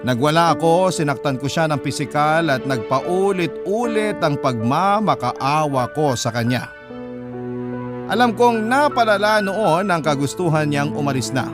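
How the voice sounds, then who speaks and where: A man's voice: a little high, lively intonation, measured pace. A man narrating a dramatic first-person story as a radio storyteller. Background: background music.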